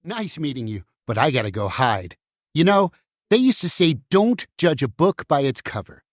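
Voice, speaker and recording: A man's voice: a little high, sing-song, normal speed, dry recording, studio quality. A man in a puppet show voicing a nervous banned book, emphatic and foreboding. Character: nervous, fugitive banned book, gossipy and resigned. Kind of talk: musical puppet show stage acting.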